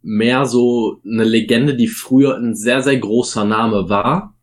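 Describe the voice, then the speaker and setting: A man's voice: medium pitch, fairly even intonation, slow. A man co-hosting a casual wrestling podcast, talking in an opinionated way and weighing his points with frequent fillers. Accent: German. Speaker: podcast co-host.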